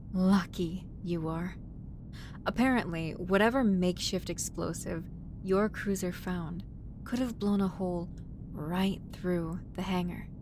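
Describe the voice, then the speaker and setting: Woman acting slow, low-voiced caring spaceship medic, rumbly noise. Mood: mild scolding. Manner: gentle, hesitant, fussing, murmured asides. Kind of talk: roleplay audio drama addressed to the listener. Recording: noisy audio with low rumble.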